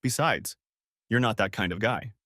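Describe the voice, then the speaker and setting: A man's voice: on the low side, moderate pace, a bit bright, no room sound, clean studio sound, lightly acted. A man reading a story aloud, voicing a friendly woman neighbor who talks earnestly and with concern. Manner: earnest, caring.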